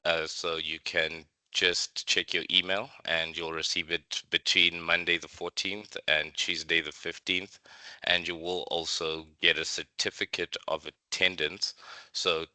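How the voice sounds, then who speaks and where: A man speaks in a very deep, sharply bright and crisp voice. He hosts an online session in a formal, polite, scripted manner. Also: little pitch movement, unhurried, dry recording. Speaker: webinar host.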